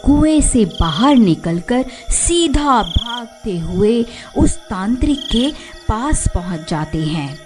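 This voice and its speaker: A woman's voice: on the high side, big pitch swings, slow, hardly any pauses, over music. A woman narrator reading a scripted storybook-style tale for a cartoon.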